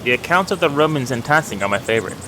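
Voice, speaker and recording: A man's voice: medium pitch, expressive, racing, background noise, natural voice. A man voicing a friendly, humble village miller in a video game, chatting in a folksy way about old legends. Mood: eager storytelling. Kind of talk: reading video game dialogue aloud.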